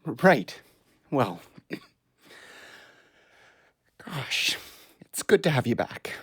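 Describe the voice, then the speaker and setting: Middle-aged dad and doctor, audio play: reserved, husky, slow and halting. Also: male voice, mid-range voice, sing-song, no room sound, studio quality, heavily acted. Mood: weary, touched.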